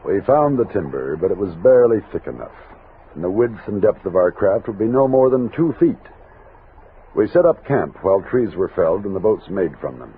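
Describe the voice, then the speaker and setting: An actor playing a steady, commanding expedition captain who narrates and speaks in an old-time radio western. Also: male voice, on the low side, moderate pace, slight background noise. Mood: grave, reflective. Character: steady male expedition captain, commanding, thoughtful frontier leader. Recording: narrow-band vintage radio recording with music.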